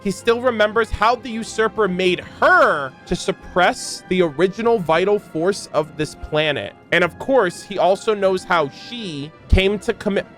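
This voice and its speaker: A man giving casual, slangy live reaction commentary as a game streamer, animated and incredulous as he reads lore aloud. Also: sits high, measured pace, hardly any pauses, faint music behind.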